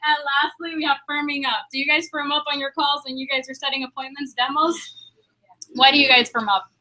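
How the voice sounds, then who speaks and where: A young woman leading a sales training meeting, upbeat and quizzing the room with quick questions. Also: high-pitched, fairly even intonation, bright, forceful tone, rough recording.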